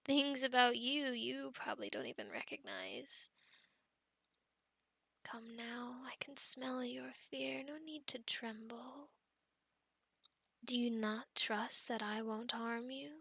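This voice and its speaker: A high-voiced female werewolf acted by a woman speaks slowly and intimately into a close mic. She slips between crackly fry and breathy whispers in an ASMR roleplay. Her manner is formal, coaxing and softly menacing, obsessively possessive of her captive. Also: animated, no room sound, heavily acted. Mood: obsessive, intense. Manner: slow, formal wording, soft menacing coaxing, often whispering. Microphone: close microphone.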